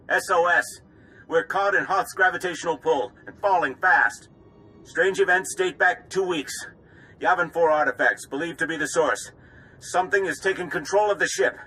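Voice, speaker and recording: A man's voice: high voice, pushed and bright, a little hiss or hum, rough recording. A male actor playing a terrified starship captain in a video game, urgent and strained as he sends out a distress message.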